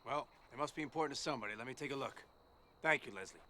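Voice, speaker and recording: An actor playing an agitated, irreverent army officer in a video game cutscene. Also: male voice, mid-range voice, racing, sharp tone, a little hiss or hum, close to an everyday voice. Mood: curious.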